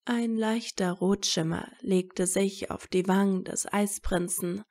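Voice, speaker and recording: A woman's voice: mid-range voice, steady tone, slow pace, darkish tone. A woman narrating a scripted fan fiction story as a storyteller.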